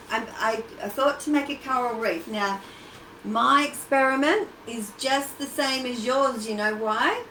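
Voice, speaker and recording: A woman's voice: fairly high pitch, fairly bright tone. An Australian-accented woman hosting a casual children's craft livestream, chatty and encouraging as she talks directly to the kids. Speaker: children's storytime and craft host. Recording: echoey, noisy livestream from a distance.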